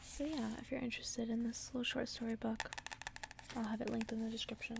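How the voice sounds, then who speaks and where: Creaky, whispering ASMR woman. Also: a little high, level tone, brisk, very noisy. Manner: whispering, soft-spoken. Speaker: ASMR host reading stories aloud. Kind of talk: ASMR bedtime story reading. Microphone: close ASMR microphone.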